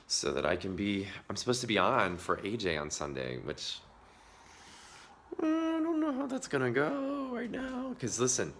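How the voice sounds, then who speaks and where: Hoarse, low-voiced man with a cold. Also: a bit bright, a little hiss or hum. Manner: chatty, confiding, partly whispered to save the voice. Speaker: vlogger. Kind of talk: casual vlog talk. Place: outdoors in the woods.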